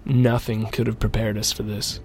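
A man playing a mech pilot and sole survivor, narrating his account in a measured first-person audio drama style. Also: fairly low pitch, level tone, darkish tone, soft background music, close to an everyday voice. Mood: grim, reflective.

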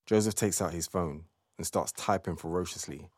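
Male narrator, deep register, scripted storytelling for audio drama. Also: moderate pace, a little mellow, a little hiss or hum.

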